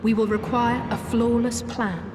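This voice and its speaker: An actress playing an earnest, cautious young woman strategist in a video game, speaking formally and with measured care. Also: fairly high pitch, fairly even intonation, lightly acted. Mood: grave, cautioning. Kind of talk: dramatic video game voice acting. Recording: echoey hall-like reverb under music.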